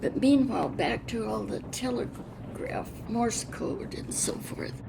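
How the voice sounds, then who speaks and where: An elderly American woman, past eighty, reminisces unscripted in a plain-spoken, halting, reflective way, pausing mid-sentence. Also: fairly high pitch, gravelly, noisy background. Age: over 80. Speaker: interviewee, retired railroad telegrapher. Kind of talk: unscripted oral-history reminiscence.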